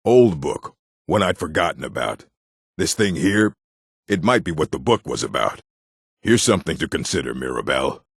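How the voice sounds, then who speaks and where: A scholarly orc speaks in a low, husky rumble. His delivery is deliberate and learned, gruff yet bookish, like a keeper of arcane books in a fantasy video game. The recording is dry and close. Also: big pitch swings, no echo, exaggerated voice. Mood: pondering. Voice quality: deep, gravelly husky voice. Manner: measured, deliberate, learned diction. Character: scholarly male orc librarian of a mage college, gruff but bookish.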